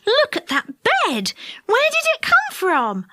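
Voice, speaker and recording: A woman voicing a lively, easily surprised young boy who exclaims in a storybook reading. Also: high-pitched, moderate pace, no echo, clean studio sound, voice pushed up. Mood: astonished. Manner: exclaiming, old-fashioned children's slang.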